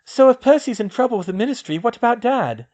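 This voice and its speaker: A man's voice: high-pitched, expressive, moderate pace, a little mellow, pitched above the performer's own voice. A man voicing a stubborn, loyal teenage witch who speaks firmly. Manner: firm and insistent.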